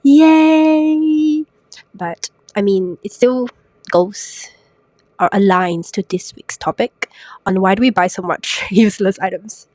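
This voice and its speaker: A young woman hosting a solo podcast while sick, her voice raspy and congested, chatty and self-mocking with lots of rhetorical questions. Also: medium pitch, slow, darkish tone, no echo. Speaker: podcast host. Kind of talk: casual solo podcast talk. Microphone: new podcast microphone.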